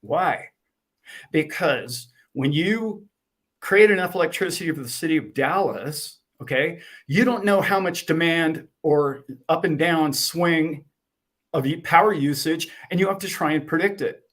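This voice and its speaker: A man's voice: on the high side, unhurried. A man explaining to a host with animation, acting out little exchanges as he goes. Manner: animated, acts out dialogue. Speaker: guest.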